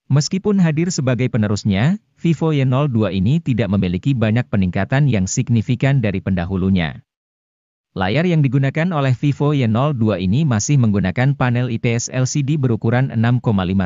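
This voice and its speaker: A man's voice: medium pitch, mellow, no room sound, muffled recording. A man with an Indonesian accent reading a scripted gadget review in a formal, informative way, as a voice-over close to the microphone. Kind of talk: scripted narration for a product review.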